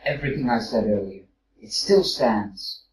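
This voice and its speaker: A man's voice: mid-range voice, expressive, normal speed, close to an everyday voice. A brash male robot captain, voiced by a man for an audio drama. He argues with sarcastic, needling bite, and the sound is echoey and slightly distant with a faint noise underneath. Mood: challenging, sarcastic. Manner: needling, argumentative.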